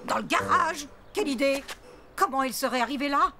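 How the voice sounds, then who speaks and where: A fretful cartoon elephant mother. Her voice is very high and lively in pitch, snapping with irritation. Music plays behind. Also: brisk. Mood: irritated, fretful. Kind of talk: French children's cartoon dialogue.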